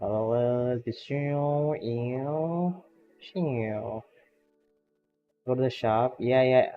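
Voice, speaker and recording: A man's voice: mid-range voice, extremely slow, muffled recording, faint music behind. A man streaming a game as a VTuber, chatting casually with a friend, laughing often and reacting to game results. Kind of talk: casual gaming stream chat.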